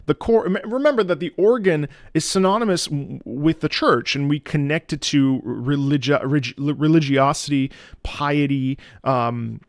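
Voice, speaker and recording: A man who is an opera singer giving reaction commentary on game music, halting and thoughtful as he searches for words. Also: on the high side, dry recording, studio quality. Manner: breaks into sung demonstrations of musical phrases.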